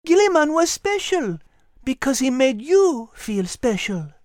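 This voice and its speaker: A woman plays a refined little female animal in a children's audio drama. The voice is high and gentle, with articulate, teacherly phrasing. It sounds close and dry. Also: big pitch swings, measured pace, no room sound. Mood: gentle, reassuring.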